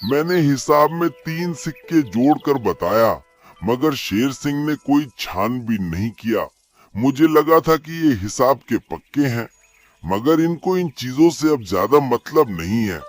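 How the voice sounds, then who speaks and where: A man's voice: medium pitch, lively intonation, a little slow, soft background music, close to an everyday voice. A man playing a sly, greedy fox minister in a cartoon story, obliging and calculating in manner. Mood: scheming. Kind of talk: cartoon story character acting.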